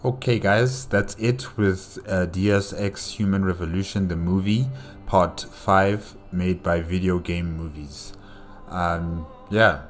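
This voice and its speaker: Very deep-voiced man, casual recap. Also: steady tone, slow, soft background music. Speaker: reaction video host. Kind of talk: casual solo recap commentary.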